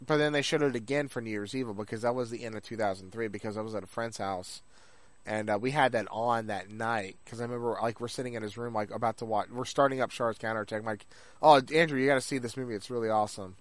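A man's voice: on the low side, fairly even intonation, quick pace, no echo. A man co-hosting a podcast in casual chat about anime, rambling along and acting out things people said. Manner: rambling, acts out remembered remarks. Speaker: podcast co-host.

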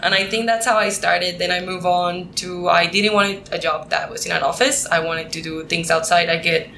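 A woman's voice: lowish voice, fairly even intonation, vocal fry, sharp tone, slight background noise. A young woman from Puerto Rico, a native Spanish speaker with a Puerto Rican accent, talking casually and laughing often as a guest. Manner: quick, laughing, with restarts. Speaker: guest, PhD candidate. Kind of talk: casual interview talk. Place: at a conference.

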